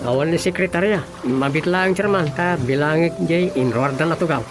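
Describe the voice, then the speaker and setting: An actor playing an inquisitive village official's helper with an Ilocano accent in a radio drama comedy. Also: male voice, fairly high pitch, fairly quick, lightly acted. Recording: loud music bed behind the voice.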